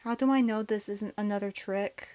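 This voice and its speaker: A woman's voice: mid-range voice, steady tone, moderate pace, darkish tone, lightly acted. A woman acting a bitter, despondent outcast in a comic dub, guarded, sullen and dismissive. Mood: guarded, resentful.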